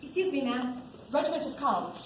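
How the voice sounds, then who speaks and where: A woman's voice: high-pitched, animated, fast. A young woman in a school play acting an urgent, dutiful soldier. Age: young adult. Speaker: student actor in a one-act school play. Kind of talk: amateur stage acting. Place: indoor stage, recorded from the audience. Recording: distant echoey stage, faint noise.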